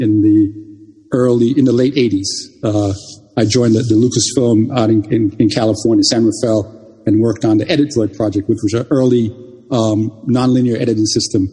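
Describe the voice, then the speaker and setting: Older man from Jamaica, Jamaican first language, interview guest; mellow, husky voice, halting delivery with a long reverb. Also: on the low side. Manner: halting, many restarts and repeated words. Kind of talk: reminiscing interview talk.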